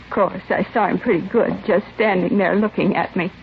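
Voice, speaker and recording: An American woman acting the part of a grieving, resigned mother in her late forties, subdued and halting, in a 1950s radio drama. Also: medium pitch, fairly even intonation, creaky, lightly acted. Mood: cooperative. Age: middle-aged. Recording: dull, noisy vintage radio recording.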